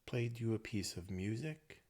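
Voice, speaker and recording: A man leading a guided meditation, speaking gently in short phrases with long pauses between them. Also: fairly low pitch, animated. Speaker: meditation teacher.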